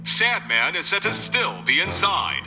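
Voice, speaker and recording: A man's voice: sits high, huge pitch swings, a little slow, exaggerated voice. A man voicing a dramatic television news broadcaster in a comic book dub, in announcer style with long reverb. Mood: urgent, dramatic. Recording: long reverb, music behind.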